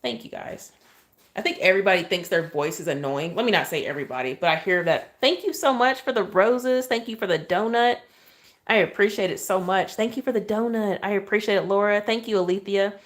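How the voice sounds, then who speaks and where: A woman from Oklahoma with a Southern accent and a soft, calm voice, chatting casually on a livestream while she decorates a cake and keeps thanking viewers. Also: mid-range voice, fairly quick. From Oklahoma, USA. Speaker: cake decorator live-streaming. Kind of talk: casual livestream chat while piping icing. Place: indoor kitchen counter.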